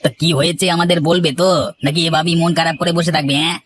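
A man's voice: a little high, level tone, quick pace, fairly bright tone, slight background noise, close to an everyday voice. A man voicing a nosy, teasing village friend in a cartoon, chatty and frank, prodding with exclamations and questions. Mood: concerned prodding. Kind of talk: cartoon character acting.

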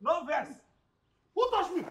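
An actor with a Nigerian accent playing a brash, mocking, scheming gatekeeper in a comic drama, loud and blustering. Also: male voice, very high voice, very animated, moderate pace, breathy edge, heavily acted. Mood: mocking outrage. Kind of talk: comic screen drama acting in Nigerian Pidgin.

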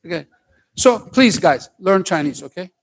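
An older man with a light Turkish accent speaks from a large auditorium stage in a somewhat high voice. He lectures in a loose, joking way and keeps tagging his points with "okay?". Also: animated, moderate pace, vocal fry. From Turkey. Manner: conversational, keeps asking "okay?", jokes with the crowd. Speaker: professor giving a talk to students. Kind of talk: lively lecture to a school audience.

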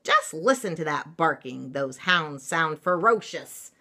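A woman's voice: low voice, animated, measured pace, bright, forceful tone, exaggerated voice. A woman voicing a bossy mother cat from a storybook, scolding in an emphatic, dramatic manner.

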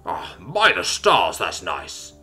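A man's voice: deep voice, wildly swooping pitch, takes it slowly, sharp tone, breathy edge, pitched below the performer's own voice, heavily acted. A man voicing a jovial, easygoing male alien who slithers, in a sci-fi story. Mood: relieved.

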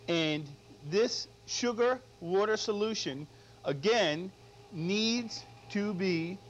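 A middle-aged male chef giving an unscripted cooking demonstration, often checking in with short tag questions. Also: high-pitched. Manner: frequent tag questions "all right?". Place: studio kitchen. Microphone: lapel mic. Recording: dull lo-fi audio, background noise.

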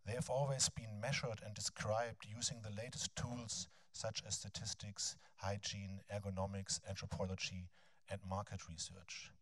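Halting German-accented male lecturer. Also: on the low side, breathy edge, dry recording. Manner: halting, frequent ums and restarts. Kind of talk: academic lecture, then reading a text aloud. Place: large bright lecture hall. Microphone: handheld mic.

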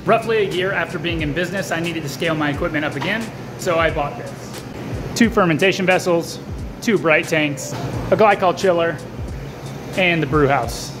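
A man's voice: a little high, normal speed. An American man in his thirties talking candidly to camera, thinking aloud in an explanatory vlog. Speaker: small brewery owner and vlogger. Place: small taproom bar with metal walls, indoors. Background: clear background music.

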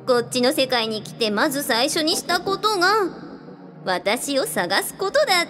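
A woman's voice: high-pitched, sing-song, normal speed, a bit bright. Woman as breezy, flirtatious glamorous lady spirit. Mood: gushing. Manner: coquettish, casual, self-assured. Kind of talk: radio drama acting. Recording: music behind the voice. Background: music.

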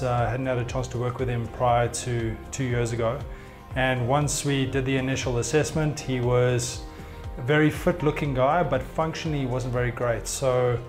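A man in his thirties, a fitness coach, explaining his work in an interview to camera. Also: medium pitch. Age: 30s. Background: background music.

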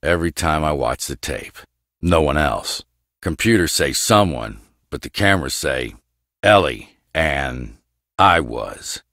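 A very deep, gruff, guarded scientist. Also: male voice, a little slow, dry recording, clean studio sound, full character voice. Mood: curt, guarded. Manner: terse, clipped, deadpan. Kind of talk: video game voice acting.

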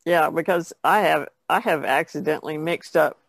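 A woman's voice: sits low, moderate pace, gravelly, husky, no echo. A woman who paints, chatting casually as a podcast guest. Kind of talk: casual chat.

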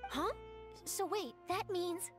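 A woman playing an eager, inquisitive young news reporter in a video game, animated and perky. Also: very high voice, takes it slowly, music in the background. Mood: startled. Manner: bright-eyed, inquisitive, animated. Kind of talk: scripted video game dialogue.

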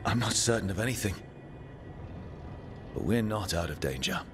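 Man playing a blunt young hero, low-voiced, matter-of-fact, music behind. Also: animated, normal speed, lightly acted. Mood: grim. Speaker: player character. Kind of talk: video game voice acting. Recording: game dialogue over clear music.

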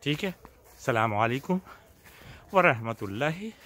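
An older man chats casually to the camera while outdoors, pausing often and addressing his viewers directly in a leisurely way. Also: mid-range voice, very animated, a bit bright. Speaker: vlogger. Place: outdoors in a suburban backyard. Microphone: phone at arm's length.

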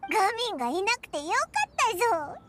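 Squeaky, cheeky little companion creature. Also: female voice, expressive, brisk, loud music behind the voice. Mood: cheerful, boastful. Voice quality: squeaky, childlike. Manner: boyish, blunt, informal speech. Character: small floating childlike companion creature, cheeky and gluttonous. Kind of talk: anime game voice acting.

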